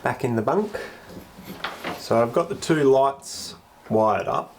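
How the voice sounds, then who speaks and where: A man's voice: mid-range voice, animated, slow. A man with the flu, sounding sniffly, thinking aloud as he does his own wiring inside a small boat cabin. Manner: thinks aloud hesitantly while working with his hands. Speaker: boat owner doing DIY wiring. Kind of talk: casual vlog narration while working. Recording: noisy background.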